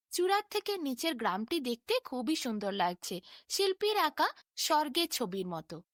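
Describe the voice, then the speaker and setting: A woman storyteller reading a scripted bedtime fairy tale in an expressive, dramatic manner. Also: high-pitched, no echo, studio quality.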